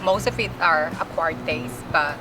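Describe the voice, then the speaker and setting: A woman's voice: deep voice, measured pace, low-quality recording. A woman with a Filipino accent gives hesitant interview answers with many "um"s. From Philippines. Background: loud background music.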